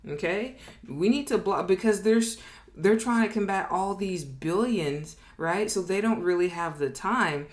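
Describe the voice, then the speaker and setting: A woman's voice: medium pitch, unhurried. A woman presenting an informal lesson in a conversational, emphatic way, acting out imagined quotes and often checking that listeners follow. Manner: conversational, emphatic, frequently checks in with "okay?" and "right?". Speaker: business educator, presenter. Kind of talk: informal explainer lesson at a board.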